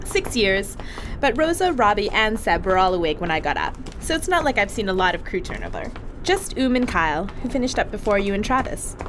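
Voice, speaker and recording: A woman playing a lively, crude crewwoman. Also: medium pitch, fairly quick, background noise, close to an everyday voice. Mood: casual. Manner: casual, swearing, laughing. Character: chatty, crude young crewwoman on a ship. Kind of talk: audio drama acting.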